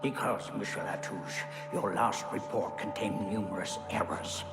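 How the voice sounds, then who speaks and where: A cold, dismissive official talks in a low, gravelly voice. He is curt and formal, in an echoing game cutscene with loud music. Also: steady tone, unhurried.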